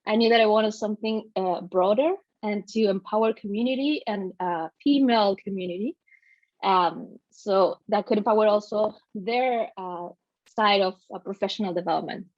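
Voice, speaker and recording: A woman's voice: medium pitch, normal speed, no room sound. A woman from Chile with a Chilean Spanish accent, a native Spanish speaker, introducing herself hesitantly as a guest on a video call. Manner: hesitant, many fillers. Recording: dry lo-fi video call audio.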